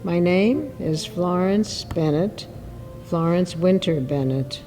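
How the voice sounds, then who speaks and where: A woman's voice: fairly low pitch, takes it slowly, mellow, soft background music. A woman in her late eighties speaking in a forthright, chatty way in an interview. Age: 80s. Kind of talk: unscripted personal interview.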